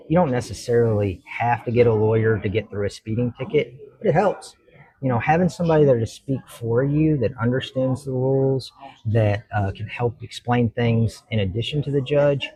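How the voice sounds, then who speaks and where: A man with a husky, slightly breathy voice of middling pitch. He is a judge talking through his views in an interview, earnest and reflective. He explains each point at length. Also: warm tone, rough recording. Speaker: judge, talk show guest. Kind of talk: interview answers.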